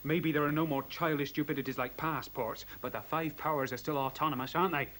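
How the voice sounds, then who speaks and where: A man's voice: on the high side, fairly even intonation, quick pace, sharp tone. An actor playing an agitated, cynical young man in a radio play, stammering, slangy and argumentative. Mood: agitated, bitter. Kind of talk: radio drama acting. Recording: hissy old radio play recording.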